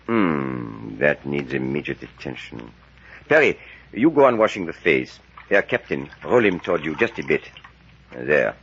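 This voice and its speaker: Deep, husky, calm professor. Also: male voice, a little slow, full character voice. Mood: businesslike. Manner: assured, steady, takes charge. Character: calm, authoritative male professor with medical skill. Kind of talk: 1940s radio acting. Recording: dull vintage 1940s radio recording.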